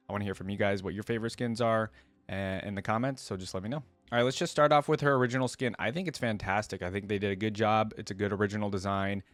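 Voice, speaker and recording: A man's voice: lowish voice, no echo. A man hosting a reaction video, chatting casually and enthusiastically in slangy fan talk.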